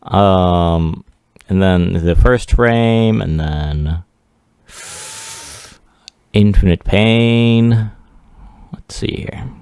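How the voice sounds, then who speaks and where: A man giving a casual, unscripted software walkthrough as a 3D artist, rambling along in a self-deprecating way. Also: sits low, drawn-out words, long pauses, clean tone, no echo, studio quality. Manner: rambling, self-deprecating, chuckles at own remarks. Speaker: 3D artist presenting a demo.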